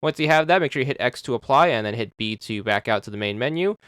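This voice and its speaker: A man's voice: mid-range voice, animated, quick pace, fairly bright tone, clean tone, no room sound. A man narrating a game achievement walkthrough, methodically repeating step-by-step instructions into a close microphone. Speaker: achievement guide host.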